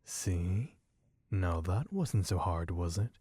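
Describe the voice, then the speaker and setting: A man playing a sadistic, possessive vampire in an ASMR roleplay, speaking in a taunting, condescending, deliberate way. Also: deep voice, expressive, breathy edge, full character voice. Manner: taunting, condescending, slow and deliberate with soft chuckles. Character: sadistic, possessive male vampire toying with human captive.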